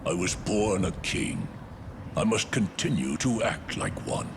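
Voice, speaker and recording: Very deep-voiced imperious old king. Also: expressive, measured pace, noisy background. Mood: grudging resolve. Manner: imperious, formal. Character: stern, haughty older king and father. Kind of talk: dramatic fantasy game acting.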